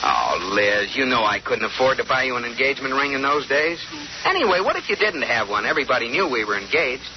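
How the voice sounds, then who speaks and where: An actor playing an exasperated, jealous but fond husband in old-time radio sitcom style, with wry comic delivery. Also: male voice, mid-range voice, big pitch swings, fast, close to an everyday voice. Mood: defensive. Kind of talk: 1940s-50s radio sitcom acting. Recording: noisy, muffled old radio broadcast recording.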